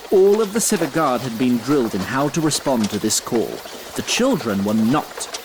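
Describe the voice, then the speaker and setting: Male actor as wry immortal narrator, theatrical, lively swooping pitch, fantasy audio drama, noisy ambience behind. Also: moderate pace, lightly acted. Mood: measured. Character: ancient immortal male being, bemused wry storyteller.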